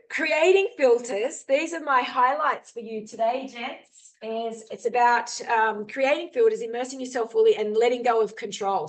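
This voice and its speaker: A woman adventurer giving an earnest, candid talk over a video call, peppered with ums and you-knows. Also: fairly high pitch. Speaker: guest speaker, adventurer. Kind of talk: informal talk with screen share, then Q&A.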